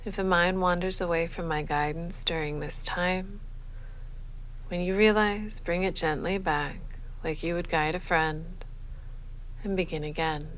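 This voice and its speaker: Woman doing a sleep meditation, lowish voice, super slow and soothing, bit of noise behind her. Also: rough recording. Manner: measured, soothing, unhurried instruction. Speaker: yoga nidra guide. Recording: faint steady background noise.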